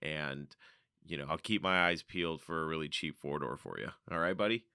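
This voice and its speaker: A casual, easygoing man hosts a car podcast, reading listener stories aloud and riffing on them with a laugh. His voice sits low but rings bright and clean. It is recorded close and dry. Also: fairly quick, gravelly, clean tone, no room sound. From North America. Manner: casual, chatty, laughs often. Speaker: podcast host. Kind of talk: reading listener letters and commenting.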